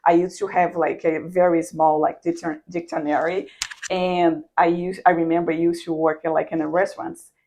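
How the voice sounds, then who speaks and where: Low mellow woman with a foreign accent, earnest interviewee. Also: level tone, moderate pace, a little hiss or hum, low-quality recording. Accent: non-native English. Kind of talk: casual interview.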